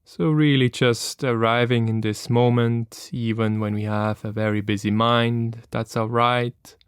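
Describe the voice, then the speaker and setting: A man leading a guided meditation as an instructor, speaking calmly and gently with long, unhurried pauses. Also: mid-range voice, smooth, no room sound, studio quality.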